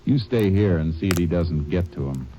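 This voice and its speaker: An actor playing a terse, level-headed Texas Ranger, who also narrates, in a vintage radio drama. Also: male voice, low-pitched, expressive, moderate pace. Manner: terse, clipped, no-nonsense. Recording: dull old radio recording with music.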